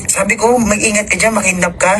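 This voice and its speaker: A man's voice: sits high, fairly quick. A young man on a phone call, easygoing and joking with a friend. His voice comes through a speaker on a noisy line, with traffic noise behind him. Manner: laid-back, joking, relaxed. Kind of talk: casual phone chat.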